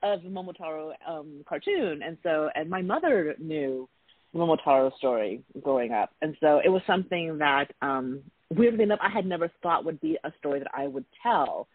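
Guest man from Taiwan, a touch high-pitched and husky, thinks out loud in loose, casual sentences over a thin phone line. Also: animated, moderate pace, dry recording. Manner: thoughtful, rambling, with soft laughs. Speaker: guest artist. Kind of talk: casual interview talk.

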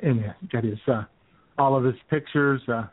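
A man's voice: mid-range voice, moderate pace, no echo. Dry, phone-band male radio host. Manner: sarcastic, joking. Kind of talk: casual comic banter about the news. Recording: thin, phone-like internet radio sound.

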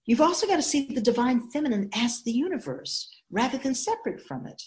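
A woman playing an ageless, wise spirit guide in a radio drama, explaining in a measured, emphatic, instructive way with deliberate pauses. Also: sits high, big pitch swings, darkish tone. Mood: reverent, solemn. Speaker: teacher figure in a radio drama.

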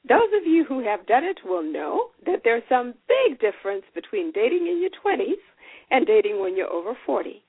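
A woman's voice: on the high side, breathy edge, no room sound. A woman in her forties hosting a solo podcast, talking in a chatty, folksy, encouraging way. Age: 40s. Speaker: podcast host. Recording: tinny phone-like sound.

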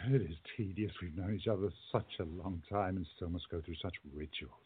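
A man playing an earnest, guarded gentleman in a radio play, speaking formally. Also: low-pitched, brisk, slight background noise, natural voice. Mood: courteous. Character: Joe, earnest, guarded gentleman time traveller. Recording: dull lo-fi, music behind.

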